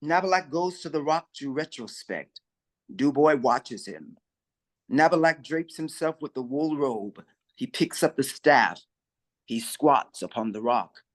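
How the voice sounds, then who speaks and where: A man reading stage directions aloud in a measured, even way during a play reading. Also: mid-range voice, low-quality recording.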